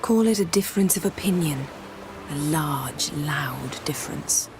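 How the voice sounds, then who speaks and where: An actress playing a cool, self-assured sorceress in a video game, speaking in a measured, commanding way. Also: female voice, low voice, background noise. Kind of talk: video game cutscene acting. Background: music.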